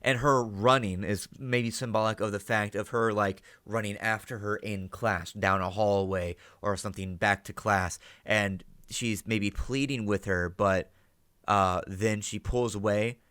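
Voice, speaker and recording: A man's voice: fairly low pitch, fairly even intonation, unhurried, sharp tone, clear, resonant tone, no echo. Man giving off-the-cuff commentary, close dry sound. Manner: ends statements with "right?" as a check; thinks aloud, swears casually. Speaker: reaction video host. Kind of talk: casual off-the-cuff reaction commentary and analysis.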